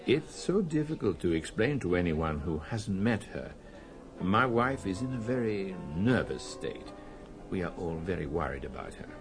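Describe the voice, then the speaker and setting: An actor playing an anxious, courteous archaeologist husband who speaks formally and earnestly in a radio drama. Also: male voice, low-pitched, very animated, moderate pace, faint music behind. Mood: worried, earnest.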